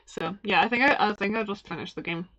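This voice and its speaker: A woman's voice: medium pitch, quick pace, pushed and bright, lo-fi sound. A young Scottish woman from Glasgow streaming a game, chatting in a rambling way, laughing often and reading the on-screen text aloud. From Glasgow, Scotland.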